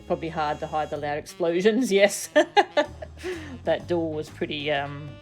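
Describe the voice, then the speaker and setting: A woman's voice: sits low, measured pace, faint music behind. A woman streaming a video game, giving casual, playful, giggly commentary and reading some game text aloud.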